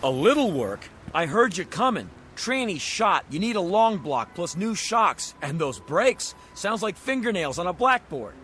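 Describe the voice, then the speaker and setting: Fast-talking, animated car salesman. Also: sits high, slight background noise, heavily acted. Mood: blunt, exasperated. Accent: American. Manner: folksy, wisecracking, blunt. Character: fast-talking, flustered used car salesman blurting uncomfortable truths. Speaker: used car salesman character. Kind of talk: 1960s television drama acting. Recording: old TV soundtrack with faint music.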